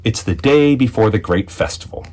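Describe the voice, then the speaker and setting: A man reading a children's picture book aloud as a storyteller, with expressive narration and deliberate dramatic phrasing. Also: on the low side. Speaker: read-aloud storyteller, school executive.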